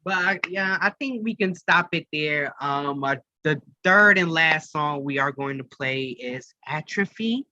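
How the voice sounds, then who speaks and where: A man hosting a podcast in casual chat, speaking haltingly and repeating words. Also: fairly high pitch, pushed and bright, no echo, lo-fi sound. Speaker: podcast host.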